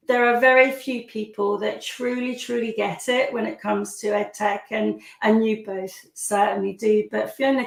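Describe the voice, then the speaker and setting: A woman hosts a webinar with a croaky, hoarse voice that turns gravelly. She talks in a chatty way, with lots of ums and uhs. The sound is roomy and echoing. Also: a little high. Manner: chatty, warm welcomes with frequent fillers. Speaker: webinar host. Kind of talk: friendly webinar hosting.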